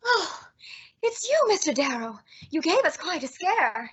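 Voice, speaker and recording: A woman acting an anxious, excitable lady sleuth in a melodramatic old-time radio thriller. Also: very high-pitched, measured pace, heavily acted. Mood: uneasy. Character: Margot Lane, anxious young lady sleuth's companion.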